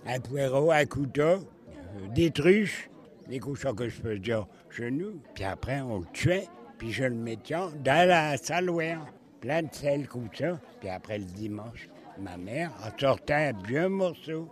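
A man's voice: mid-range voice, lively intonation, a little slow. Elderly man with a Picard accent. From northern France. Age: about 80. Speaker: local elder interviewee. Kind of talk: lively anecdote telling in dialect.

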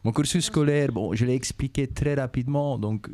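A man's voice: mid-range voice, moderate pace, mellow, no room sound. A middle-aged Belgian man, a native Dutch speaker with a Flemish accent, patiently explaining his work to schoolchildren and often rephrasing as he goes. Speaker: company director, interview guest.

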